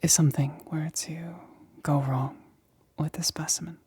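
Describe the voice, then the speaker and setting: A woman performs an ASMR roleplay as a careful scientist, in a very breathy whisper. Her delivery is slow and fairly flat, with a deliberate, clinical way of giving instructions. The sound is dry, with no room around the voice. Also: low-pitched, no room sound. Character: careful, excited female scientist examining an alien specimen.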